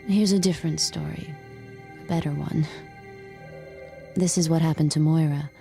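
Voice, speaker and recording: A woman as a weary, low-voiced narrator. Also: steady tone, moderate pace, creaky. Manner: tired, reflective, quiet narration. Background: music.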